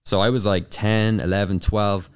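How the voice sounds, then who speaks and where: A young man with an Irish accent, a guest on an interview show, answering in a casual, rapid way full of fillers and restarts. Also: on the low side, fairly even intonation, clean tone. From Ireland. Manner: fast, filler-heavy with many restarts. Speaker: YouTube consultant, guest.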